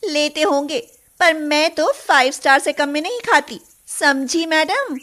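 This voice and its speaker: Woman playing a high-pitched snobbish rich girl. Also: a little slow, sharp tone. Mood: snooty. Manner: haughty, disgusted.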